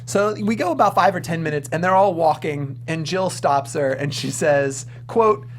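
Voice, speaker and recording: A man's voice: fairly high pitch, moderate pace, a little hiss or hum. A man co-hosting a movie review show, bantering casually with sarcastic mock enthusiasm.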